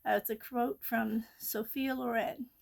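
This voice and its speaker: Slow-talking woman with a gravelly voice. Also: fairly high pitch, steady tone. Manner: slow, chatty, often drops to near-whisper. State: congested from allergies. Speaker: art channel host. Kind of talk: casual chat while sketching.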